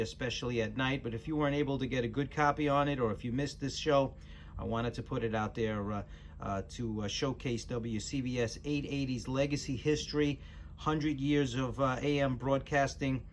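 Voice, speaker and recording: An American man speaks casually as he introduces a recording, stopping and starting hesitantly. His voice sits at an average pitch, and there is noise around it. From New York City area, US. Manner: hesitant, halting. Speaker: channel host introducing a recording.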